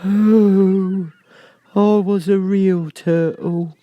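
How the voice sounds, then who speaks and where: A man voicing a sorrowful, solemn storybook turtle creature in a slow, hollow, sighing tone. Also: sits high, fairly even intonation, no room sound, muffled recording, voice pushed up. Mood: mournful. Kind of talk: storybook character voice.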